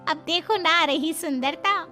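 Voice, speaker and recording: A woman's voice: extremely high pitch, unhurried, sharp tone, faint music behind, voice pushed up, exaggerated voice. A woman voicing a wicked, gloating middle-aged auntie in a dramatised moral story, with a sly, gossipy manner. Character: wicked gloating middle-aged female friend of mother-in-law.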